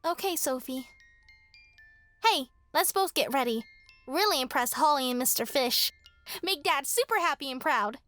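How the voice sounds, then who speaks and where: A woman's voice: high-pitched, animated, moderate pace, pauses often, a bit bright, faint music behind. A woman voicing a vain, spoiled young girl, snobbish and petulant, in a horror radio drama. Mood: eager, obedient.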